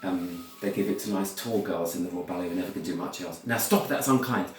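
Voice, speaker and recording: A British man giving a rambling, informal talk as a storyteller, halting and repeating words, with theatrical, opinionated asides. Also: low-pitched. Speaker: ballet storyteller and performer. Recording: very echoey room, far from mic.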